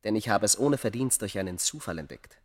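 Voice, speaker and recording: A man's voice: on the low side, lively intonation, a little fast. A male actor playing a bitter, vengeful keeper of a secret in a radio play, with emphatic, declamatory delivery. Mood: cold, triumphant. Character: bitter, vengeful man guarding a deathless secret. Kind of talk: theatrical radio play dialogue.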